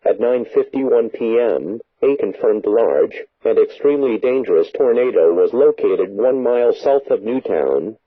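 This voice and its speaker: Through a dull, echoey radio alert broadcast comes a man's voice reading emergency weather warnings. He sounds synthetic and rough-edged, delivering each scripted instruction in a flat, formal announcer style. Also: fairly low pitch.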